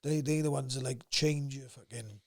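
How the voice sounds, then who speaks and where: A young man with a South Wales valleys accent and a gruff voice, talking bluntly and swearing freely as a podcast guest. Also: medium pitch, steady tone, moderate pace. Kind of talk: casual interview chat.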